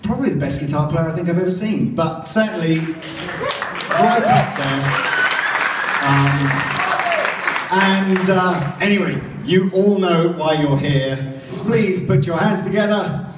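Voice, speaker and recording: A man's voice: high voice, expressive, unhurried. Man hyping a crowd over a roomy PA. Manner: building to a shouted announcement. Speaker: event host. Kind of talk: stage introduction. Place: venue stage. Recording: echoey venue PA from far off. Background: loud music and crowd.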